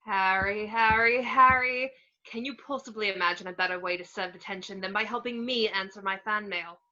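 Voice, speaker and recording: A woman's voice: mid-range voice, steady tone, normal speed, sharp tone, muffled recording, close to an everyday voice. A woman playing a cheery, vain male wizard professor in an amateur table read, breezy and self-admiring. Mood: pompous, cheery.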